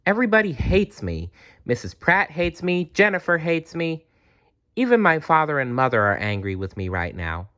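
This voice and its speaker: A man's voice: on the high side, very animated, normal speed, fairly bright tone, dull audio, voice pushed up. A man voicing a mopey, plaintive young schoolboy in a children's storybook. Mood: self-pitying.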